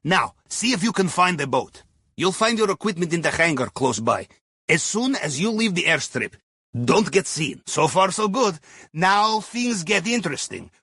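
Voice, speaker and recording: A man's voice: high voice, sing-song, moderate pace, sharp tone, breathy edge, dry recording, clean studio sound, full character voice. A man playing a wry, jovial Russian submarine captain who guides a heist crew in a video game, speaking with a Russian accent and a conspiratorial manner. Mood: briefing, focused. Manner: wry, conspiratorial, folksy asides. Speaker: mission guide giving instructions. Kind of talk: video game mission dialogue.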